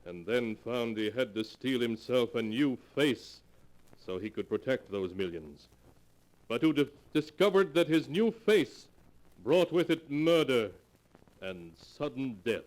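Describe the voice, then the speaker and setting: A man's voice: medium pitch, lively intonation, a little slow. A man narrating as a 1940s radio horror announcer, solemn and theatrical, reading a script on a noisy vintage recording.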